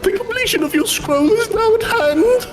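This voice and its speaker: Give the shrill voice of a vain little fantasy-game schemer. It is very high and swoops wildly, gloating in florid, archaic phrases, with music underneath. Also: male voice, expressive, moderate pace, echoey room. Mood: gleeful, eager.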